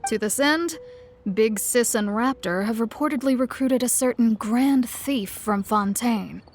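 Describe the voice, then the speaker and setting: Formal polite guild desk lady, a bit high, soft game music behind. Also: a little slow. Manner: formal, courteous. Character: polite young guild receptionist woman. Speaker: adventurers' guild receptionist. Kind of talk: video game dialogue. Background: faint game music.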